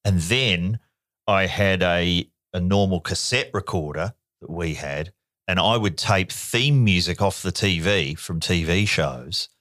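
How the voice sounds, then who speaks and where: A man's voice: sits low, a bit bright, no room sound, studio quality. A middle-aged man telling stories in a casual podcast chat. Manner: chatty storyteller, quick jokes. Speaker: podcast co-host. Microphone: studio mic.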